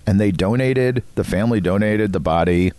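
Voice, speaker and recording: A man's voice: fairly low pitch, normal speed, background noise. A middle-aged or older man co-hosting a podcast with casual, wisecracking banter and conversational storytelling. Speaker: podcast co-host.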